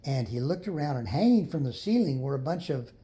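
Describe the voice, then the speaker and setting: An older man improvising a children's story in a folksy, unhurried way, pausing for others to join in. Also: mid-range voice, very animated, warm tone. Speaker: grandfather storyteller.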